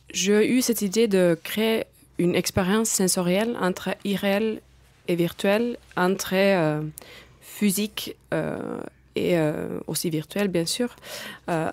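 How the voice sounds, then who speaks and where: A woman's voice: fairly low pitch, darkish tone, dry recording. Finnish-accented woman, hesitant and searching. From Finland. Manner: hesitant, searching for words with many pauses. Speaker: guest circus artist. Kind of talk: studio podcast interview.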